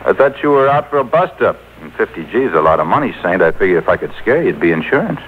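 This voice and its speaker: A man's voice: low voice, big pitch swings, fast talker. A man playing a tough, blunt small-time hoodlum in an old-time radio crime drama, talking in streetwise slang. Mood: matter-of-fact, cocky. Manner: colloquial underworld slang, streetwise. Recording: tinny, faintly noisy taped AM radio.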